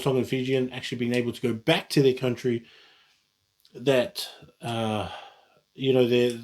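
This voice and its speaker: A man, talking casually on his own and often restarting his sentences. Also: medium pitch. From New Zealand. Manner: rambling, frequent restarts and self-corrections. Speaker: vlogger. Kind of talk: casual solo talk.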